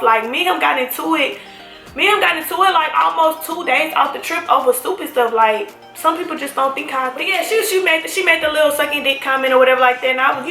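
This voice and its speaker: A young woman telling a story to camera in a casual, slangy, emphatic way, talking straight to her viewers. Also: high-pitched. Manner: animated, slangy, emphatic, addresses viewers as y'all. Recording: echoey room with faint music.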